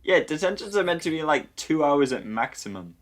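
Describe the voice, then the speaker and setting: A man's voice: fairly high pitch, very animated, a little fast. A man giving jokey, opinionated commentary in a casual group chat over a film. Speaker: panelist on a film watch-along.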